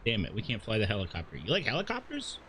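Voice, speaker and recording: A man's voice: fairly low pitch, wildly swooping pitch, fast talker, fairly bright tone, slight background noise. A man livestreaming a video game with casual commentary, playful joking asides and bits of dialogue read aloud.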